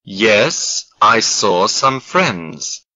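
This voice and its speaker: A man reads English drill sentences very slowly, pausing between each phrase. His voice is bright and sharp, and the recording sounds a little dull and lo-fi. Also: on the low side, expressive. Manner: slow, carefully spaced model sentences. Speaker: English lesson voice. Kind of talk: slow scripted language drill.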